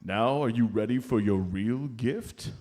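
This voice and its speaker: Guy doing santa claus for a comedy radio show, jolly n slow, theatrical, kinda gently scolding. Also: mid-range voice, slight background noise, close to an everyday voice. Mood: cheerful. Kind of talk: live comedy radio play. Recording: live stage comedy show recording.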